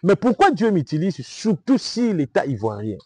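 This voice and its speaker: A man's voice: high voice, huge pitch swings, normal speed, darkish tone, husky, no echo. A man with a Congolese French accent from Kinshasa, a self-styled prophet answering interview questions in a preaching manner, emphatic and repetitive, often asking "vous comprenez?".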